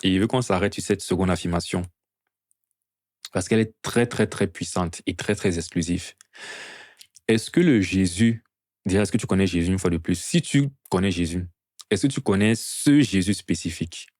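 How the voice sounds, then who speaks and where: A man's voice: sits low, normal speed, darkish tone, no room sound, clean studio sound. A man preaching an evangelistic sermon to a gathering in an earnest, teaching manner, often posing rhetorical questions.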